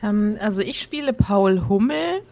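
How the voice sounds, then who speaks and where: A woman chatting in a relaxed way about her role-playing character. Her talk is loose and unhurried, full of small fillers and restarts. Her voice sits at an everyday middle pitch. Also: vocal fry. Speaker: player introducing her character. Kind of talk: casual chat.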